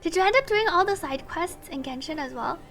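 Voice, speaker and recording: A woman game streamer chatting casually with viewers and reading story text aloud in a playful, expressive, teasing way. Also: very high-pitched, fairly quick.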